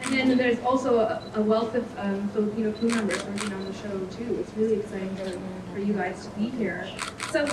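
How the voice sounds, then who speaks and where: A woman's voice: medium pitch, steady tone. Woman, interviewer, enthusiastic, echoey, distant, noisy. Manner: enthusiastic, quick to praise. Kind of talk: casual interview.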